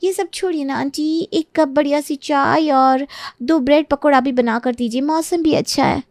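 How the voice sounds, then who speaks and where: Entitled young wife for cartoon fable: high voice, lazy, breezy, complaining manner. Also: female voice, normal speed, darkish tone, dry recording, clean studio sound. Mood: breezy, dismissive. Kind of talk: Hindi animated moral story acting.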